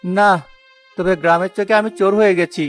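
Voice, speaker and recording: A man's voice: high voice, steady tone, a little fast, lightly acted. A man voicing a poor, honest porter in a cartoon moral tale, earnest and plaintive. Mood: despairing. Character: poor honest male porter, proud, wronged, humble. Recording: music behind the voice.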